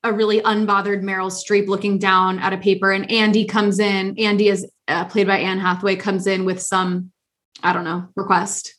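A woman hosting a casual podcast chat, talking in an animated, rambling way with many restarts. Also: mid-range voice, normal speed. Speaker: podcast host.

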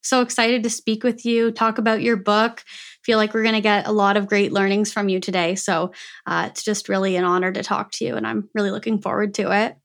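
Woman podcast host, upbeat, mid pitch, scripted intro, friendly interview questions. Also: little pitch movement, brisk, vocal fry. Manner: upbeat, warm thanking, reads a content warning.

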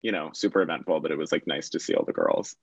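Podcast guest, male, very low voice, dull dry lo-fi sound, relaxed rambler. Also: quick pace, gravelly, husky, no room sound. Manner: relaxed, rambling, lots of fillers. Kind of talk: casual chat.

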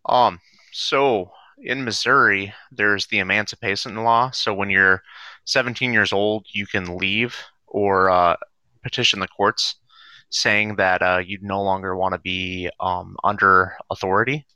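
A young man in his twenties who was a former student, telling his story haltingly with many fillers over a dull, lo-fi call line. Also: fairly low pitch, steady tone, sharp tone, dry recording. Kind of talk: casual personal account.

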